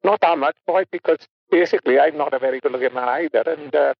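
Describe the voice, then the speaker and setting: A man's voice: fairly high pitch, fast, creaky, no room sound. A man calling into a radio show, blunt and chatty, heard over a dull phone line. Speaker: caller. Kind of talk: phone-in call.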